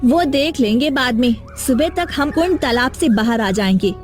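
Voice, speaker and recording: A woman's voice: sits high, lively intonation, moderate pace, soft background music. A woman voicing an anxious, determined young village woman in a cartoon, earnest and dramatic.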